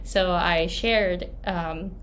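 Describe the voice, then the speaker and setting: Slow-talking Japanese-accented woman. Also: lowish voice, steady tone, fairly bright tone, slight background noise. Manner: laughs often, many fillers and restarts. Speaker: English pronunciation coach. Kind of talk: casual talk, storytelling tips.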